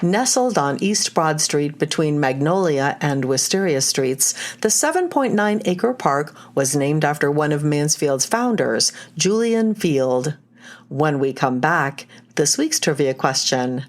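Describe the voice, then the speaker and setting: A woman's voice: sits low, lively intonation, a little slow. A woman hosting a podcast with scripted announcements. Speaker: podcast host.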